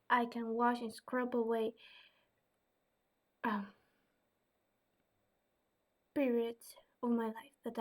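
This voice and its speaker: This is a young woman with a Dutch accent. She talks slowly and hesitantly in a slightly high voice, with lots of "um"s and soft asides as she answers viewers' questions. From Netherlands. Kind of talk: casual webcam Q&A vlog.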